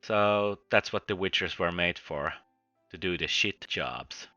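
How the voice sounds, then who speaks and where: A very low-voiced man narrates a scripted book review. His tone is bright and forceful, and his delivery is wry and joking, with frequent chuckles. Faint music plays underneath. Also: a little slow, dry recording, lo-fi sound. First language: Finnish.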